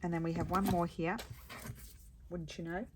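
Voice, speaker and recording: A woman with a croaky voice, chatting casually and thinking aloud as she makes paper crafts at her craft table. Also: lowish voice, level tone, moderate pace, noisy background. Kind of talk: casual crafting chat while working.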